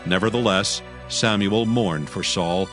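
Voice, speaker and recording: A man's voice: fairly low pitch, a little slow. A man narrating scripture as a solemn, scripted dramatic story. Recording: prominent music bed behind voice.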